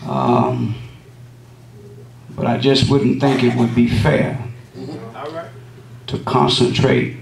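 A middle-aged man, a visiting pastor, opening his sermon and praying from the pulpit with a measured cadence and frequent amens. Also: mid-range voice, big pitch swings, gravelly, slight background noise. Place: wood-paneled church sanctuary. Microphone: lectern microphone, camera at back of room. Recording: echoey room, distant camera, congregation responses.

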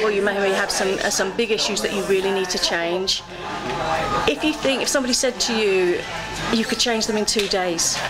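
A woman giving an earnest, emphatic testimonial to camera in a large indoor training room. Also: medium pitch. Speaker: course attendee giving testimonial. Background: loud music.

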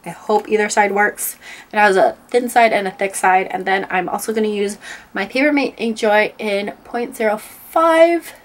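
A woman's voice: mid-range voice, moderate pace. Nasal woman with a cold, chatting. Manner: chatty, rambling, many ums. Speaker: planner vlogger. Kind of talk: casual plan-with-me narration. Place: indoors at a desk, overhead camera.

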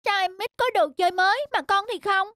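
A woman's voice: very high-pitched, fairly quick, fairly bright tone, dry recording. A woman voicing a jealous, sulky little boy kitten with a whiny manner in a children's cartoon. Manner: whiny, petulant. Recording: studio voice over background music.

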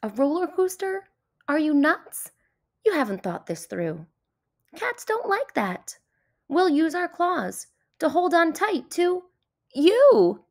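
A woman's voice: very high voice, big pitch swings, slow, voice pushed up, heavily acted. A woman voicing a finicky, bossy, indignant cat in a children's picture book, with an emphatic, dramatic delivery.

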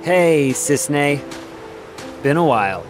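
A young soldier on the run in a video game, earnest and protective. He talks at an unhurried pace in a casual, reassuring way, weary yet caring. Music plays clearly behind him. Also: a little high, expressive. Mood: casual, steady. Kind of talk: English video game dub acting.

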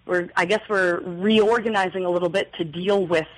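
A woman's voice: fairly low pitch, steady tone, normal speed, poor audio. A young woman from New Brunswick, a magazine editor, answering questions thoughtfully in a radio studio interview, with many fillers and restarts. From New Brunswick, Canada. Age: young adult. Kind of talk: radio interview, reading aloud.

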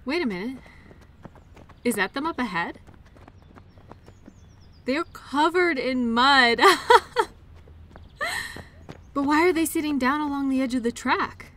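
A woman's voice: sits high, expressive, takes it slowly, pauses often, fairly bright tone, full character voice. A woman playing an affectionate, playful girlfriend in a roleplay audio, talking breezily as if to her partner. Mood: playful, tired. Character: tired, playful, caring girlfriend at a mud race. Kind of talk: intimate roleplay audio acting, one-sided conversation.

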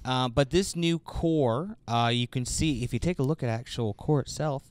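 A man's voice: mid-range voice, moderate pace, dry recording. A man co-hosting a casual product review, commenting and bantering in a joking way. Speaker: host of bowling ball reviews.

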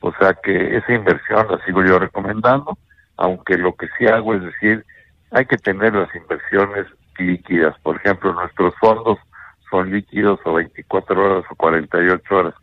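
An older man with a Mexican accent, a bank executive giving measured investment advice on a radio interview, often ending points with a questioning tag. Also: low voice, dry recording, lo-fi sound. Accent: Mexican Spanish.

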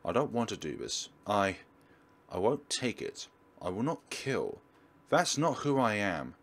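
A man's voice: lowish voice, big pitch swings, measured pace, heavily acted. A man voicing a troubled, frightened teenage schoolgirl, reading a visual novel in a dramatic, anxious way. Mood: refusing. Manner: dramatic, anxious read-aloud.

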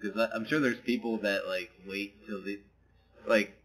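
A man's voice: lowish voice, fairly even intonation, normal speed, a bit bright, low-quality recording. A man co-hosting a podcast in a casual chat, rambling and hesitant, full of fillers. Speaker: podcast co-host. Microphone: podcast microphone.

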